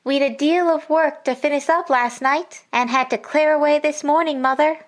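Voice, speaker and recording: A woman's voice: high voice, fairly even intonation, moderate pace, close to an everyday voice. A woman playing a hard-working young daughter in a classic radio drama. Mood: eager.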